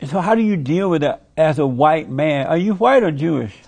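Blunt man hosting, slightly high voice. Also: expressive, normal speed, a little mellow. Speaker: interviewer, host. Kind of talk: casual chat interview.

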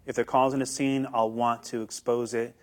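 A man's voice: mid-range voice, flat delivery, fairly quick. A young man giving earnest, hesitant interview answers as a guest, often repeating words as he starts. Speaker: guest, YouTuber.

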